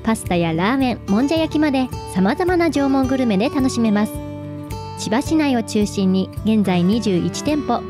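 A woman with a high-pitched voice hosts a radio programme. She moves between announcements and chat, with music clearly playing behind her. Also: expressive, normal speed. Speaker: radio host. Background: background music.

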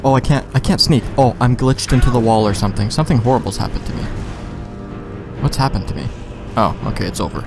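A man plays a video game and talks his way through it in a rambling, casual style. His mid-pitched voice is full of slang and swearing, with some game music behind him. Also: fast talker, creaky. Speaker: let's play gamer. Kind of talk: casual gameplay commentary.

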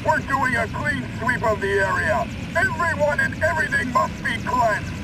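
A man playing a ruthless squad commander in a video game, shouting military orders. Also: very high-pitched, normal speed. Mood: fierce, commanding. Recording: very noisy lo-fi game audio.

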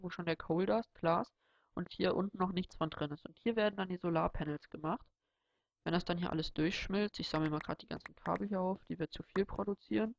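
Young man with a gravelly, slightly stuffy voice. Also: high-pitched, muffled recording. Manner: relaxed, rambling, thinking aloud. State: has a cold. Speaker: Let's Play host. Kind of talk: casual gameplay tutorial commentary.

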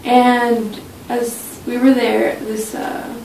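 Young woman, slightly high voice, creaky edge, reminiscing casually, interview in a small office. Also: little pitch movement, very slow, darkish tone. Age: young adult. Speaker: study abroad student. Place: small office meeting room, indoors. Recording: roomy, distant mic, faint low rumble.

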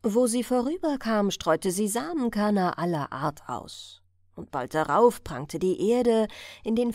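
Female audiobook narrator for fairy tales: deliberate, expressive storybook delivery, slow tempo, lively intonation. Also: mid-range voice, no room sound, clean studio sound. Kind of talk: scripted fairy tale narration, bedtime storybook reading.

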